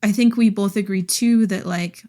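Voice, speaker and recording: A woman co-hosting a podcast, chatting about books in an informal, animated way full of "like". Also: medium pitch, moderate pace. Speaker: podcast co-host. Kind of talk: casual book discussion chat.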